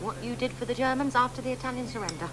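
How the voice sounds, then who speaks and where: An actress playing an earnest, flattering publisher in a radio drama. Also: female voice, on the high side, racing, music in the background, lightly acted. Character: earnest female publisher, admiring and persuasive.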